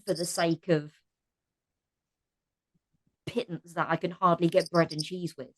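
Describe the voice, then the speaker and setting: Low-voiced woman speaking earnestly. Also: little pitch movement, measured pace. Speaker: podcast guest, cast member of an audio drama. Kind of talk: impassioned casual discussion.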